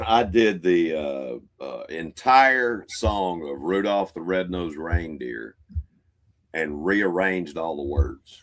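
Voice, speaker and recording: Deep-voiced male co-host; expressive, self-mocking joker. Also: slow pace. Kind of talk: casual interview chat.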